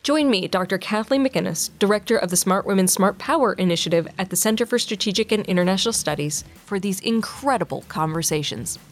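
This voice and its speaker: A woman's voice: mid-range voice, lively intonation, a little fast, few pauses. A woman reading a polished, scripted introduction to her podcast. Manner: polished, announcer-like read. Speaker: podcast host. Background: faint music.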